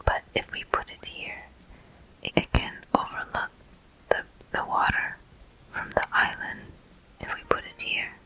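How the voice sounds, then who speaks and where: A whispering game host giving soft, deliberate ASMR commentary with frequent pauses, close to the microphone. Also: rough recording. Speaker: host of gameplay walkthrough.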